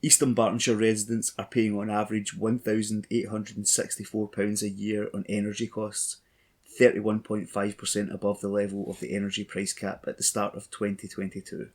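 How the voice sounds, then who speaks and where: Even, low-voiced man reading news. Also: brisk. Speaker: volunteer newspaper reader. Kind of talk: scripted news reading for a talking newspaper.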